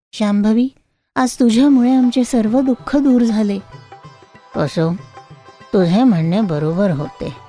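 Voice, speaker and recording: A woman voicing a gentle, humble male bangle seller, sincere and forgiving, in an animated moral story. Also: mid-range voice, voice pushed down, natural voice.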